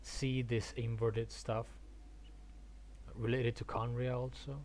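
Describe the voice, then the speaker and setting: Halting male game streamer, faint background noise. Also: medium pitch, flat delivery, a little mellow. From Argentina. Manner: halting, repeats words, thinks aloud. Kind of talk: casual rambling stream chat about games.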